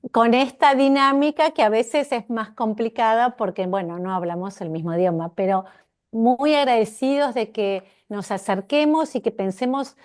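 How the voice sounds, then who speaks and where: A woman, hosting and introducing an interview in a measured way, with a few hesitant fillers. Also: mid-range voice, a little mellow. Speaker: interview host.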